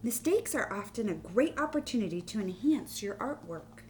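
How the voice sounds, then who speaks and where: A woman's voice: mid-range voice, expressive, moderate pace, darkish tone, voice pushed up, natural voice. An encouraging storybook art teacher, read aloud by a woman, emphatic and dramatic in delivery. There is a faint hum behind the voice. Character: encouraging female art teacher narrating her own story. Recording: faint background hum and noise.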